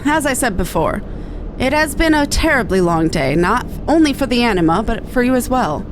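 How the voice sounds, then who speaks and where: A woman's voice: fairly high pitch, very animated, normal speed, noisy background. Woman reading a proud, formal scholar. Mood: composed, lofty. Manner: formal, precise, lofty. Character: bookish, proud, earnest scholarly researcher. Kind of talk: game dialogue read-aloud. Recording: music behind the voice.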